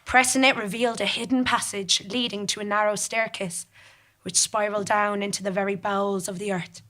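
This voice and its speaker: A woman's voice: mid-range voice, fairly even intonation, fairly quick, sharp tone, close to an everyday voice. A woman performing a scripted comic stage monologue as a ruthless, competitive gambler, with dry, dramatic storytelling. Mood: suspenseful, wry. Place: theatre stage in front of an audience.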